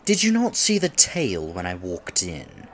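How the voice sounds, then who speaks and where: A man's voice: sits low, wildly swooping pitch, measured pace, slight background noise, heavily acted. A man in his twenties playing a nervous, polite young silver fox kitsune who wants to seem mature, in an ASMR date roleplay, speaking formally with stammers. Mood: puzzled, amused. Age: 20s. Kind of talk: ASMR roleplay, scripted one-sided date conversation.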